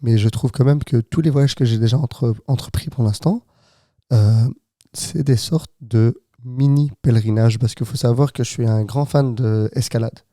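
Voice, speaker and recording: A man's voice: mid-range voice, normal speed, dark tone, no room sound, studio quality. A man with a Flemish accent chatting as a podcast guest, hesitant, with many fillers and restarts. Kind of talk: casual podcast chat. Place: hot podcast studio.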